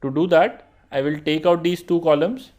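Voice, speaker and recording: Man, Indian accent, young adult, course instructor, slightly high voice, steady tutorial narration. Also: moderate pace. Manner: steady explanatory tone, addresses students directly. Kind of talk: screen-recorded tutorial narration.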